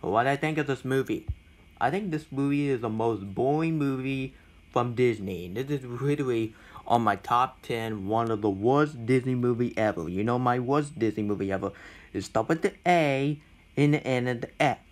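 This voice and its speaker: A young man records an informal movie review. He complains bluntly, often stopping and starting and repeating his points as he goes. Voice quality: self-described deep voice. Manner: halting, repetitive, blunt complaining.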